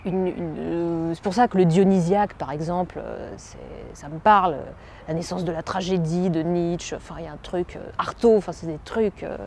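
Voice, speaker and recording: A young woman from France, an actress, speaking in a slightly low, mellow voice. She muses aloud, halting often and circling back over her words. Faint background noise sits under her voice. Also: fairly even intonation. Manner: musing, halting, many fillers and restarts. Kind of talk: self-presentation interview.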